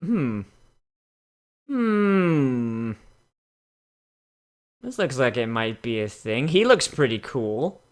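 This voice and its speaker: A man's voice: medium pitch, big pitch swings, drawn-out words, long pauses. A man giving casual, mocking comedic commentary as the host of a reading show. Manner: sarcastic, mocking.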